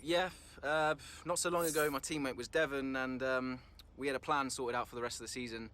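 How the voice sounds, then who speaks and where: A man's voice: medium pitch, fairly even intonation, brisk, pushed and bright, lightly acted. A man playing a good-natured young racing driver in a video game, talking in a hesitant, unassuming, conversational way. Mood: uneasy, hesitant.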